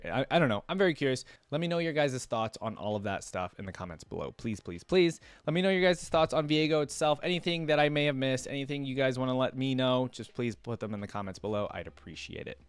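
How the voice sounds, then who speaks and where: A man's voice: lowish voice, clear, resonant tone, no room sound. A man giving casual, unscripted commentary to viewers as a reaction video host, rambling and emphatic in a conversational way.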